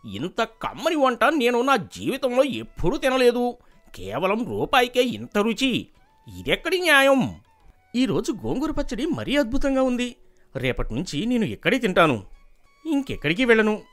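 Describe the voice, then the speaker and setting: A man voicing an amazed, gushing village diner in an animated story, excitable and exclamatory. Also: extremely high pitch, moderate pace, faint music behind, pitched above the performer's own voice, full character voice. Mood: amazed delight.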